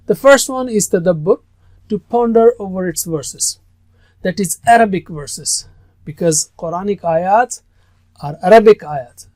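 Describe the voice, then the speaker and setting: A man's voice: high-pitched, a little mellow, no echo. A man whose first language is Urdu, teaching a recorded lesson on Quranic Arabic in an earnest, emphatic way that stresses key words.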